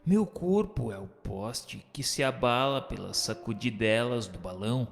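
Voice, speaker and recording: A man's voice: medium pitch, expressive, measured pace, gravelly. Male narrator, formal scripted read, heavy reverb, light music bed. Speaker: narrator and questioner. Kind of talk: scripted narration, reading aloud. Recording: long hall reverb, music underneath.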